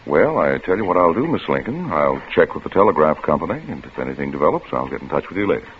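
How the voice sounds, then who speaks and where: An actor playing a patient, skeptical police chief in his thirties in a 1940s radio drama, speaking in a measured, firm, reasoning way. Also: rumbling low, big pitch swings. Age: 30s. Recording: muffled, noisy old radio recording.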